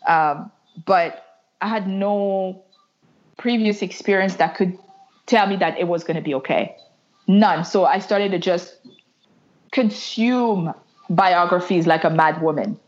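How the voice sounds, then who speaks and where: Woman, low voice, chatty. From Canada. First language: French. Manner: chatty, many "like" fillers, restarts. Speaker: guest entrepreneur. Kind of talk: candid interview storytelling. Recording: muffled lo-fi audio.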